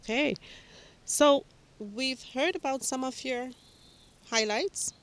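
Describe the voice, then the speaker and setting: A woman's voice: high voice, slow pace, fairly bright tone. A woman interviewing a musician, friendly and affirming as she asks open questions.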